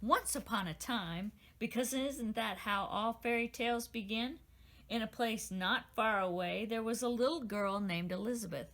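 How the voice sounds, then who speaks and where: A woman, the author, reading her children's storybook aloud with gentle, expressive storytelling. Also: on the high side, moderate pace.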